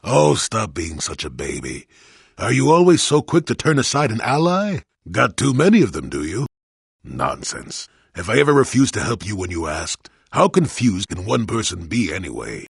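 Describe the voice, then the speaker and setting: An actor playing a cantankerous, wry old male Jedi hermit in a video game, with a gravelly, husky voice and a grumbling, sardonic manner. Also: lowish voice, normal speed, no echo, studio quality, heavily acted. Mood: gruff, teasing. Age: older. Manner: grumbling, sardonic, theatrically expressive. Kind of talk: video game character dialogue.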